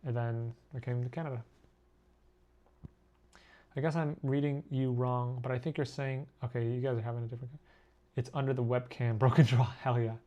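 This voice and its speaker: This is a young man from Korea talking easily to his stream audience as he sketches. His voice sits at an ordinary middle pitch, and his chatter is loose and self-deprecating, with frequent laughs. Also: steady tone, brisk, long pauses, dark tone, lo-fi sound. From born in Korea, raised abroad. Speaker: artist live-streaming. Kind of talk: casual live-stream chat reading comments.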